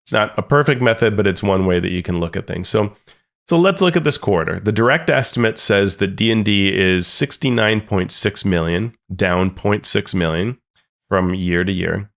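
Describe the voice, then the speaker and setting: A man's voice: on the low side, moderate pace, gravelly, a bit bright. A man co-hosting a podcast, explaining sales estimates step by step and checking in with "right?" as he goes. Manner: explanatory, walks through numbers with frequent "right?". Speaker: podcast co-host. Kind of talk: analytical podcast discussion.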